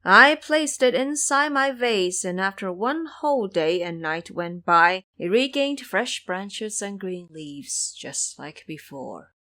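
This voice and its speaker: A woman playing an authoritative female bodhisattva, composed and formal, admonishing in a measured way. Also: mid-range voice, expressive, sharp tone. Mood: composed, explaining. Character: serene, authoritative female bodhisattva, sternly maternal.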